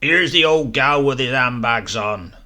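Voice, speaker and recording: A man voicing a rowdy, hard-drinking ex-soldier in a storybook reading, with a booming, hoarse voice that roars and shouts. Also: a little slow. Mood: roaring. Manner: roaring, shouting.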